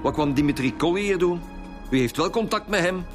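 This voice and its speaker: An actor playing a stern, impatient Flemish police interrogator in a naturalistic radio drama. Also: male voice, high voice, animated, a little fast, soft background music.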